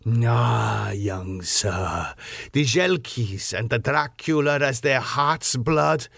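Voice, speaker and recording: Proud ancient vampire nobleman: boastful, declamatory gothic storybook villain, measured pace, dry close sound. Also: mid-range voice, vocal fry, fairly bright tone, dry recording, full character voice. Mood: proud, scornful. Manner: grandiose, declamatory, rhetorical questions. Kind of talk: dramatic gothic audiobook character reading.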